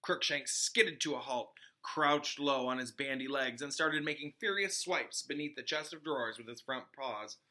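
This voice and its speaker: A man reading a children's book aloud as a teacher, with expressive narration aimed at young listeners. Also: medium pitch, normal speed, hardly any pauses. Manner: explains hard words in simpler terms.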